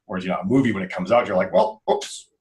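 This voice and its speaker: A man with a deep voice leads a casual podcast chat at a quick clip. He rambles along in a jokey, informal manner. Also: darkish tone. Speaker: podcast host.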